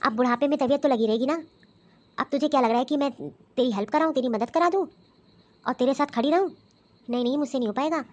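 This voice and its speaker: A woman's voice: sits high, fast talker, a little mellow, highs cut off. A woman playing a bossy scheming mother-in-law. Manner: scolding, commanding, grumbling to herself. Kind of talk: family skit acting.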